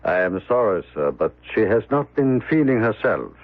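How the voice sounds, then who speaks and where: An actor playing a deferential, devoted manservant in a radio drama, formal, polite and anxious. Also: male voice, on the low side, sing-song, moderate pace. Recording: thin, phone-like radio drama audio.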